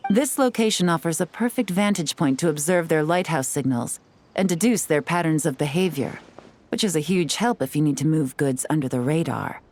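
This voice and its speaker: A woman's voice: sits low, normal speed, darkish tone, slight background noise, close to an everyday voice. A woman playing a poised, shrewd, confident secret agent in a video game, composed and coolly instructive. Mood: assured, instructive.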